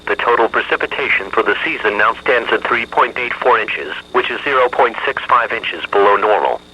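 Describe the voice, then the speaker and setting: A synthetic male voice reading an automated weather radio bulletin in a flat, evenly measured delivery. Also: fairly low pitch, slightly rough, muffled recording.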